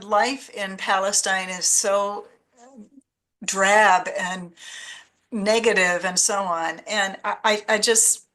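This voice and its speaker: A woman's voice: medium pitch, fairly even intonation, takes it slowly, fairly bright tone. A woman hosting an online forum, chatting with her guest and reading out questions over a video call. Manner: friendly, chatty, reads out questions. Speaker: forum host. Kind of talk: interviewer asking questions.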